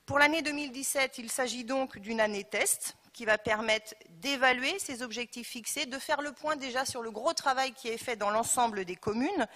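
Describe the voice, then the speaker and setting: A woman's voice: sits high, sharp tone. A woman giving a formal presentation as an elected official at a council meeting. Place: large council chamber. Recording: echoey council hall with long reverb.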